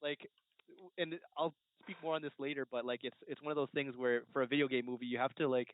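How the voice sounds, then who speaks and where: Male panelist, medium pitch, casual and halting delivery, close dry sound with narrow phone bandwidth. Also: steady tone, no room sound. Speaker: podcast panelist. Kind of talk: casual chat. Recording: muffled narrow-band phone-like audio.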